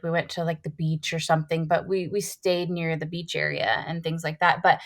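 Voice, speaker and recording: A woman from California with a Californian accent, telling her story casually as a podcast guest. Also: sits low. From Central Valley, California. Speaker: podcast guest, life coach.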